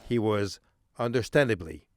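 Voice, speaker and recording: A man reporting, narrating a news feature in a measured, scripted voice-over. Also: lowish voice, background noise. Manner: measured, even delivery. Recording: voice-over with music bed. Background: music.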